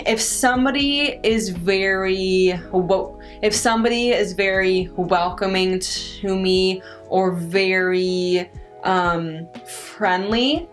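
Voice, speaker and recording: Young woman who stutters, slow and halting. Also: mid-range voice. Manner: stutters, repeats first sounds of words; halting, many restarts and pauses. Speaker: vlogger answering viewer questions. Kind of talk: casual Q&A chat. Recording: faint guitar music underneath.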